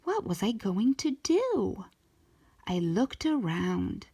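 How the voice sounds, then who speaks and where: Animated woman reading a children's story, slower pace. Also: mid-range voice, breathy edge. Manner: expressive, drawn-out emphasis for young listeners. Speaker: storytime host reading a children's book aloud.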